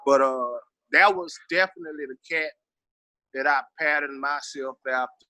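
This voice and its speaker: A man's voice: mid-range voice, steady tone, moderate pace, sharp tone, dry recording. A middle-aged man with a Southern American accent, reminiscing casually as an interview guest in an emphatic, slangy, streetwise way peppered with swearing. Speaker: interview guest, veteran rapper.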